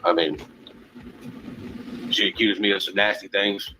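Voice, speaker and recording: A man's voice: fairly low pitch, steady tone, measured pace, sharp tone, soft background music, low-quality recording. A man being interviewed, talking informally and candidly in a blunt, rambling, defensive manner. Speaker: interviewee, a parent.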